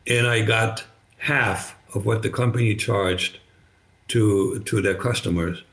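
An older man with a slight German accent, German native, telling his story as a podcast guest over a video call, halting with many restarts. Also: fairly low pitch, steady tone, fairly bright tone. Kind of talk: conversational storytelling. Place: bright living room, indoors. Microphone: video call webcam.

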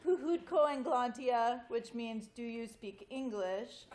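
A woman's voice: on the high side, lively intonation, a little slow, a little mellow, slight background noise. An American woman in her forties, a guest speaker telling family stories informally to a group. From United States. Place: large wood-paneled community hall. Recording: roomy echo in a hall.

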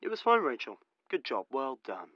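An actor playing a soothing, slightly patronising recording director in an audio drama. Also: male voice, medium pitch, huge pitch swings, moderate pace, no room sound, muffled recording. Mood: placating. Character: placating, patronising male recording studio director.

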